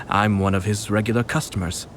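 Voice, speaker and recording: An actor playing a polite and playful young male noble commissioner in a game, speaking in a measured way. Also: on the low side, steady tone, natural voice. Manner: courteous, measured, with soft amused laughs. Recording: game audio with clear music behind.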